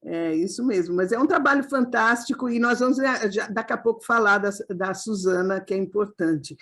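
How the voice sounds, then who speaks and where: A woman's voice: medium pitch, normal speed, fairly bright tone. A woman hosting an online panel talk, answering questions and affirming her guests with many fillers. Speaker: host.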